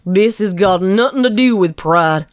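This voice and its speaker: A woman's voice: mid-range voice, unhurried, no echo, studio quality, close to an everyday voice. A woman voicing a proud, stubborn young farm mare with guarded, folksy speech in a dramatic reading. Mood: defensive. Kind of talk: dramatic fan fiction reading.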